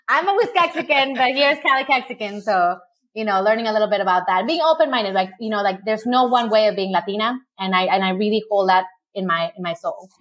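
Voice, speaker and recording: A woman's voice: medium pitch, fairly quick, fairly bright tone. A woman from Mexico, a guest on a virtual interview, talking casually with lots of fillers and restarts over a video call. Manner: chatty, many fillers and restarts. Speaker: guest, body positivity creator.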